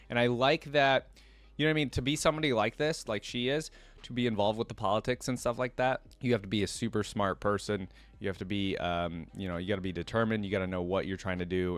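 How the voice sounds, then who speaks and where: A man's voice: lowish voice, smooth, no room sound. A man hosting a reaction video, talking casually with many fillers and open enthusiasm.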